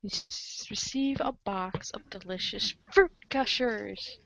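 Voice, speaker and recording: A woman's voice: mid-range voice, slow pace, creaky, a bit bright, dry recording, rough recording. A woman reading a comic aloud as the show's narrator, theatrical and playful, slipping into casual asides. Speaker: narrator of a comic read-along show.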